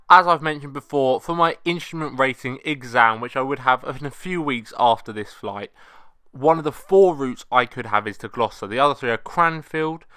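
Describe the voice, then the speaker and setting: A man's voice: mid-range voice, a bit bright, clean tone, dry recording. A young British man, a student pilot, narrating an explanatory vlog voice-over.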